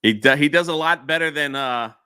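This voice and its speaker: Male co-host, laid-back bro talk, bright tense tone, dry mic. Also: a little high, fairly quick, clear, resonant tone, no echo. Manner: laid-back, says bro and man a lot. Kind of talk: casual live-stream chat.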